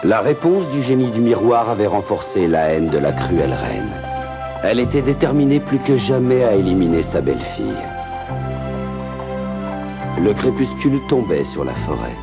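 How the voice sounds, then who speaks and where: A man narrating a cartoon in a dramatic, measured storybook style. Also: fairly low pitch, sing-song, gravelly. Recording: cartoon soundtrack with loud music.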